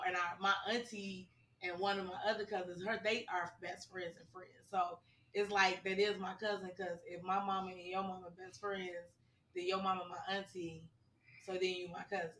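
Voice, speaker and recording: A woman co-hosting a podcast in casual, slangy chat, her voice hoarse. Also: medium pitch, level tone, normal speed, sharp tone, a little hiss or hum. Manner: chatty, slangy, laughing. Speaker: podcast co-host. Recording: roomy, distant mic.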